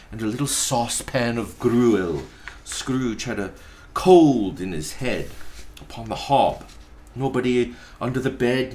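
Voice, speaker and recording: Slow-paced man reading a story dramatically. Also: mid-range voice. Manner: deliberate, theatrical phrasing with pauses. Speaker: pastor reading a story aloud.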